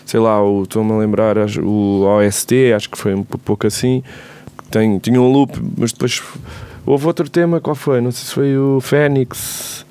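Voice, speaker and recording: A man's voice: mid-range voice, steady tone, normal speed, warm tone, clean tone. A man with a European Portuguese accent, a musician chatting casually in an interview about his work. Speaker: musician, band member being interviewed. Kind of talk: casual group conversation about making music.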